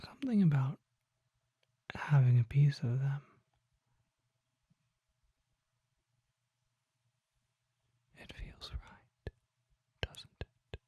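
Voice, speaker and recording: A performer voicing a refined, mysterious vampire shopkeeper in a soft, breathy ASMR whisper, speaking formally with deliberate pauses. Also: medium pitch, animated, dry recording, muffled recording, full character voice. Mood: soft intrigue. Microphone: close microphone.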